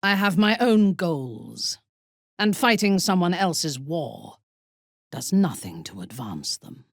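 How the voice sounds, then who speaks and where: A woman playing an imperious, ruthless dark elf warrior in a fantasy game, haughty and menacing, with a husky, breathy voice and measured delivery. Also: on the low side, big pitch swings, no echo, clean studio sound, full character voice. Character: imperious, ruthless female drow warrior and cult commander. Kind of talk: dramatic fantasy game acting.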